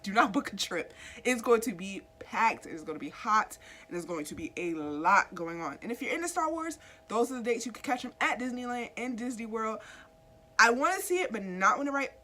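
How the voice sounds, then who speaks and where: A woman's voice: on the high side, bright, forceful tone. A woman in her thirties hosting a casual comic book news chat, a little stuffy from a cold, talking straight to her viewers and asking them questions. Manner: chatty, asks viewers questions directly. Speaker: YouTube host.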